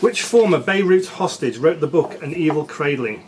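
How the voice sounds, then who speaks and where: A man's voice: a little high, lively intonation, moderate pace, noisy background. A man reading out quiz questions as quizmaster and ruling on the answers. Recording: music playing behind the voice. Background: music.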